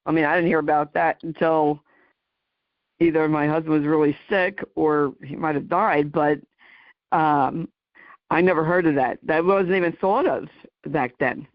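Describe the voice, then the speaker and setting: A woman's voice: deep voice, steady tone, moderate pace, dry recording. A woman in her sixties hosting a podcast, chatting casually and circling back over her phrases as she talks. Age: 60s. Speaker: podcast host. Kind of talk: casual conversational interviewing. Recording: dry, slightly dull audio.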